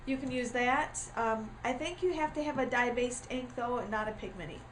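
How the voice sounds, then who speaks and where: Chatty lady w a stuffy cold voice, kinda high, explaining crafts, faint room hum. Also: moderate pace. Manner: chatty with frequent ums and uhs. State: congested with a starting cold. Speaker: craft tutorial host. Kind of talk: casual craft tutorial. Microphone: camera mic.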